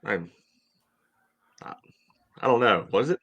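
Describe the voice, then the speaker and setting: A man's voice: fairly low pitch, lively intonation, slow pace, dull audio. A middle-aged American man casually analysing sports as a podcast co-host on a video call. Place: room at home, indoors. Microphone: video call from a computer.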